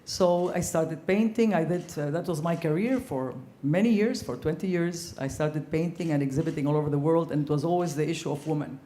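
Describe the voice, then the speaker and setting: Older woman, mellow voice in an echoey hall. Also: low-pitched, a little fast, hardly any pauses. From Ecuador. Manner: earnest, reflective, frequent ums, growing animated. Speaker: panelist, former ambassador. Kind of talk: panel discussion storytelling. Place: conference hall.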